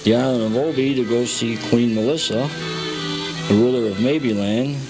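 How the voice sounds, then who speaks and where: A man's voice: mid-range voice, low-quality recording. A man recorded at home as an interview guest, telling a story step by step in an informal guided way. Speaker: guest, hobbyist showing the village they built. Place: at home, indoors.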